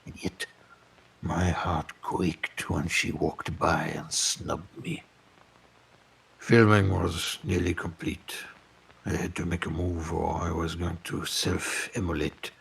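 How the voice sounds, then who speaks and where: Man acting deep, husky, pompous lovesick film tycoon. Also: fairly even intonation, slow, a bit bright, exaggerated voice. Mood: smitten, grandiose. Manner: florid, melodramatic, pompous. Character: lovesick, vengeful, pompous wealthy French film producer. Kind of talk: dramatic first-person storytelling in audio fiction.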